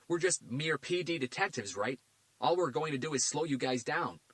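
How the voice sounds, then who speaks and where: A man's voice: fairly high pitch, sing-song, no room sound, pitched above the performer's own voice. A man doing a cocky, sarcastic detective. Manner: sarcastic, quick and mocking.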